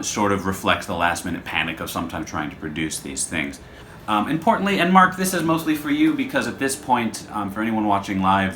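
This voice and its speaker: A man's voice: sits low, sharp tone. A man talking off the cuff to camera as host of his show, rambling, introspective and self-deprecating. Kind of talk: casual unscripted vlog talk to camera. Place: hotel room. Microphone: camera held by another person. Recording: echoey room with faint background noise.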